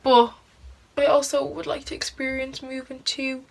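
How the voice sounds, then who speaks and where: A woman's voice: high voice, monotone, normal speed, creaky. A teenage girl, chatting casually through a get-ready-with-me video and answering questions about herself. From Ireland. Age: teens. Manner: chatty, giggly, lots of "like" fillers. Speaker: beauty vlogger.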